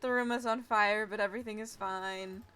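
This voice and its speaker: A woman's voice: mid-range voice, steady tone, moderate pace, fairly bright tone, clear, resonant tone. A young woman giving casual live commentary as she streams a game, sarcastic and self-mocking.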